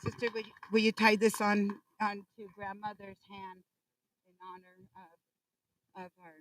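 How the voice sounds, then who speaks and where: A woman's voice: mid-range voice, little pitch movement, a bit bright. Earnest woman addressing a ceremony. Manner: earnest, repetitive, halting. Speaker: ceremony speaker. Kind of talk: ceremonial speech. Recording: distant dry pickup.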